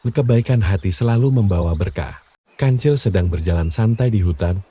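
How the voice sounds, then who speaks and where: A man tells children's bedtime tales in a low, deeply mellow voice. The tone is husky rather than crisp, and the delivery is scripted storybook narration. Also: expressive, moderate pace.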